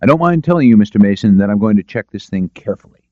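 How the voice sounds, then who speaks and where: A man's voice: on the low side, animated, racing, no echo, muffled recording, lightly acted. A man voicing an indignant, assertive doctor in his forties with a heavy masculine voice, in an audiobook. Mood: firm, confiding. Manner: blunt, assertive.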